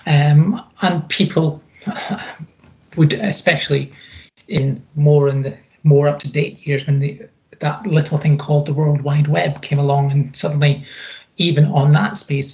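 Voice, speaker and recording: A woman with a deep, gravelly voice, recounting her experiences in an emphatic, conversational interview style. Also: darkish tone. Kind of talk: reflective personal account in an interview. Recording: dull, muffled lo-fi sound.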